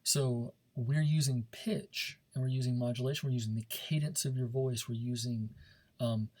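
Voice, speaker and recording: A man with a slightly rough, husky voice at an average pitch speaks as a teacher to his class. He is informal and demonstrative, leaning on key words and repeating them for emphasis. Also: fairly even intonation, moderate pace, a little mellow. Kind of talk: informal recorded lesson, talking directly to students.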